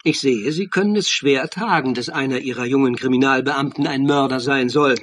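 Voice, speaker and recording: An actor playing an insistent, methodical accuser who lays out a murder theory in pointed phrases in a German radio play. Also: male voice, mid-range voice, a little fast, a bit bright, slightly rough. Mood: insistent, accusing.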